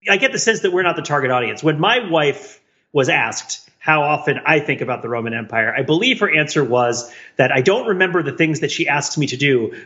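A man's voice: a little high, sharp tone, dull audio. A man co-hosting a podcast, chatting casually in a wry, rambling way. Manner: wry, rambling, prone to chuckling. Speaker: podcast co-host. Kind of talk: casual bantering podcast chat.

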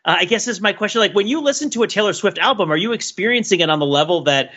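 A man with a high-pitched, very lively voice talks casually on a podcast. He rambles and restarts as he works out his thoughts, slipping into imagined quotes. The audio is slightly dull. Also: very fast, husky. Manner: rambling, self-interrupting, thinking aloud.

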